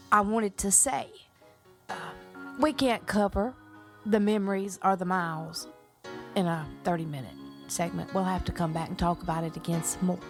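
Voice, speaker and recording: A woman in her forties hosting a radio morning show, chatting in a rambling, folksy way and laughing often. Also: lowish voice. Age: 40s. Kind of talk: casual radio interview.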